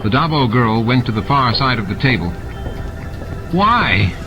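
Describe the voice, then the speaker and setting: A man's voice: medium pitch, a little fast, highs cut off. A man narrating fiction from a script as an audiobook reader. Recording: music and sound effects behind the voice. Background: added music and sound effects.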